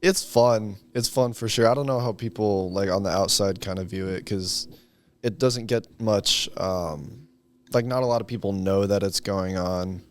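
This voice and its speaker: A young man in his late teens with a low-pitched voice. He chats casually as a guest. His manner is understated and a little hesitant. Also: moderate pace. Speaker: high school senior guest. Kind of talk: casual chat.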